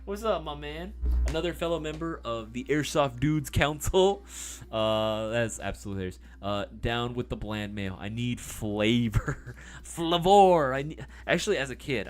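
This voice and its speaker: A man's voice: medium pitch, animated, normal speed. A man hosting a live stream in casual chat, reading viewer comments, rambling with many fillers and casual swearing.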